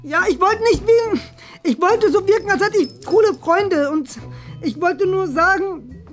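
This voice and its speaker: A nerdy, eager schoolboy with an extremely high voice. He is fussy and pleading, hedging as he goes, in a comedy sketch with faint music behind him. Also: animated, unhurried, fairly bright tone, exaggerated voice. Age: young adult. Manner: fussy, pleading, hesitant with many hedges. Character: nerdy, eager schoolboy desperate to impress his father. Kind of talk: scripted classroom comedy sketch. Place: small bright classroom, indoors.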